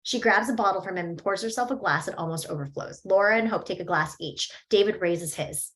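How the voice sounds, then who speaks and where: A woman's voice: medium pitch, a little fast, a bit bright, natural voice. A woman reads stage directions as scripted narration at a table read.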